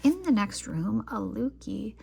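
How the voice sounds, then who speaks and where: A woman reading a children's picture book aloud as an expressive storyteller. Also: mid-range voice, measured pace, creaky, breathy edge.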